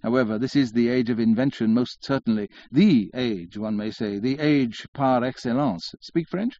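A man's voice: mid-range voice, normal speed, warm tone, no echo, highs cut off, natural voice. A man voicing a pretty, gossipy society widow with a fluttery, exclamatory manner.

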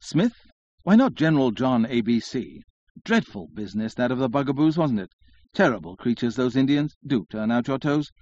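A man's voice: mid-range voice, very animated, moderate pace, darkish tone, dry recording, highs cut off. Male reader doing a flighty young lady from a storybook, coquettish, chattering and scolding, dry sound. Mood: flighty, chatty. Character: graceful, coquettish young society lady dancing.

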